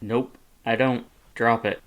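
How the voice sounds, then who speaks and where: A man voices a tired skeleton big brother who keeps his voice low and mumbled. He dodges the questions and brushes them off. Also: level tone, takes it slowly, a bit bright. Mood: defensive. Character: weary, evasive skeleton older brother.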